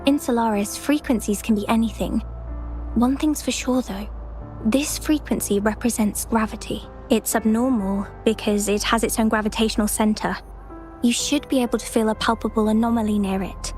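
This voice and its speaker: A woman's voice: on the high side, fairly even intonation, moderate pace, a little mellow, lightly acted. A woman voicing an earnest, polite young female researcher in a video game cutscene, explaining things carefully. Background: game music.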